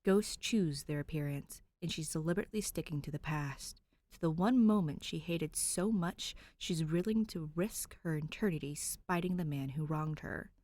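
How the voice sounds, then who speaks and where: A woman's voice: low voice, moderate pace, warm tone, no echo. A woman podfic reader narrating fan fiction expressively, with an informal, conversational touch.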